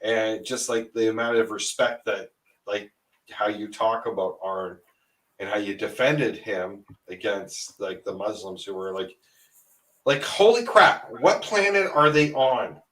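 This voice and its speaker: A man's voice: lowish voice, measured pace, a bit bright, distant mic, low-quality recording. A man telling a story with much laughter and animation as a guest in a casual stream chat, on a video call.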